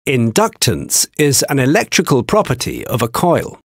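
Husky-voiced man narrating a technical lesson. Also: mid-range voice, sing-song, no room sound, studio quality. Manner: measured, explanatory delivery. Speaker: narrator of a training video.

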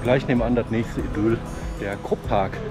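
Brief: man in his thirties, frank and chatty vlogger narrating a walk outdoors, with loud music and traffic behind him. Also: on the low side, steady tone, normal speed. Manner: chatty, frank, with fillers and regional slang. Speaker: vlogger, urban hiking guide. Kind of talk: casual walking vlog commentary. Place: outdoors on city streets and parks. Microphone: chest-mounted action camera.

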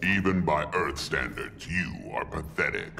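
Tyrannical alien overlord, game villain, gravelly, imperious, slow and swooping delivery. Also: male voice, lively intonation, very noisy, heavily acted. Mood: contemptuous. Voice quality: very deep, gravelly. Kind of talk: video game villain acting.

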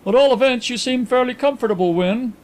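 A man voicing a proud, excitable gentleman in an audiobook, speaking in stiff, emphatic exclamations. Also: very high-pitched, fairly quick, voice pushed up. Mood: agitated, incredulous. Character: excitable, proud, touchy gentleman visitor, agitated and bitter. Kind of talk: audiobook character acting.

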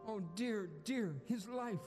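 A polite, flustered apprentice angel played by an actor, eager and deferential to the boss. It is radio-play acting in a reverberant hall with music. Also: male voice, high-pitched, slow. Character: eager, meek, two-hundred-year-old apprentice angel. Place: school auditorium stage.

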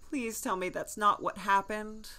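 A woman playing a nervous, soft-hearted young man in an audio drama, hesitant and flustered with sighs and stammers. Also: medium pitch, steady tone, measured pace.

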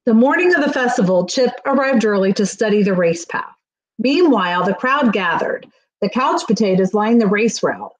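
A middle-aged woman reading a children's picture book aloud in an expressive storytelling manner. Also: mid-range voice, low-quality recording. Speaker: school staff reading aloud. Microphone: webcam on a video call, near.

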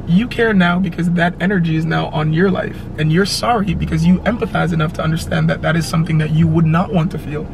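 Young man, passenger, blunt, insistent, gravelly, a bit high, off mic, music behind. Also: fairly even intonation, fast talker, hardly any pauses, far from the mic, noisy background. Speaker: guest passenger. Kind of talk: casual chat. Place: inside a car.

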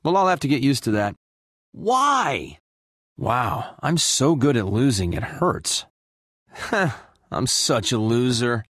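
The wisecracking space rogue you would pick in a superhero video game, swaggering through slow, theatrical one-liners in a dry, close studio sound. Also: male voice, mid-range voice, sing-song, fairly bright tone, no room sound, heavily acted. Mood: deflated, exasperated. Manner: brash, sarcastic, theatrical. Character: cocky, wisecracking, boyish male space adventurer hero. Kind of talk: video game character voice lines.

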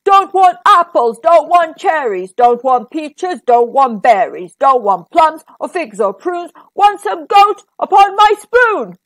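A woman voicing a greedy, hungry troll who chants his demands in a loud sing-song. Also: high voice, sing-song, a little slow, hardly any pauses.

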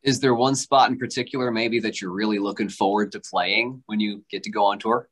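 A man's voice: fairly low pitch, fairly even intonation, brisk. A young man, a radio host, asking upbeat, well-prepared questions in presenter style over a video call. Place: indoor room with a station backdrop.

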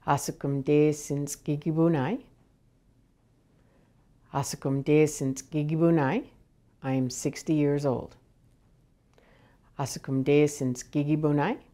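Teacher: woman in her thirties, low and mellow voice, slow and measured drills, lapel mic indoors. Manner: deliberate, measured, repeating each phrase for learners. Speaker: language teacher. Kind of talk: slow, clear language lesson with repetition drills. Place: indoors, wood-panelled room.

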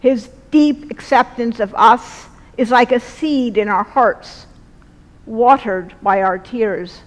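A middle-aged woman delivers a sermon at a church lectern in slow, weighty phrases. Her voice sits fairly high, and a long church reverb trails behind every line. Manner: measured, earnest, slow deliberate phrasing. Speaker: preacher. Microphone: lectern mic, far camera.

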